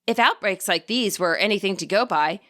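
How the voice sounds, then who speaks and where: A woman reading a fan fiction story aloud as scripted, expressive narration. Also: medium pitch, brisk.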